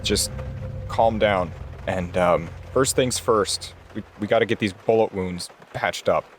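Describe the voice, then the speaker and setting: A man's voice: fairly low pitch, measured pace, slight background noise. A man playing a tabletop role-playing game, improvising his actions and dialogue casually.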